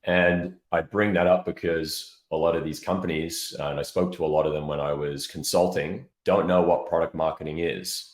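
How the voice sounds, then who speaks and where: A man's voice: low-pitched, steady tone, moderate pace, few pauses. A man speaking as an interview guest, explaining things step by step in a conversational way. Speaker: interview guest, product marketing executive. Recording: roomy, echoey sound.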